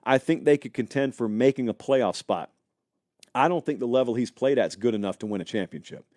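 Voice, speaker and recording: A man's voice: medium pitch, fairly quick, no room sound, studio quality. A man hosting a live college football talk show, chatting casually and speculating aloud while answering viewers in the live chat. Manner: animated, conversational, speculative, addresses live chat.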